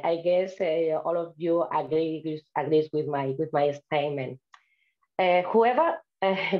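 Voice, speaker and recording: A woman's voice: on the low side, muffled recording. A woman from Spain with a Spanish accent gives an online seminar talk over a video call, chatty and friendly, with frequent "uh" fillers. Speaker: invited speaker.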